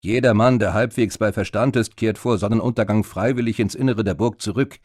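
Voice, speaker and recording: A man voicing a gruff, self-assured Viking-like warrior in an audiobook, speaking with weight. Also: on the low side, a little fast, darkish tone, dry recording, studio quality, close to an everyday voice. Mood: knowing.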